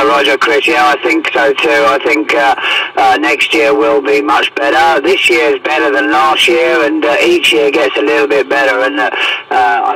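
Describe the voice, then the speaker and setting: A man's voice: medium pitch, vocal fry. A man chats casually as an amateur operator over a radio transmission, with hesitant, repetitive phrasing. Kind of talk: casual radio contact chat. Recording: very noisy, distant radio transmission.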